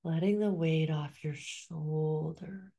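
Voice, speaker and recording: A middle-aged woman guiding a hypnosis session in a slow, soothing way, often sinking into a soft whisper. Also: low-pitched, fairly even intonation, gravelly.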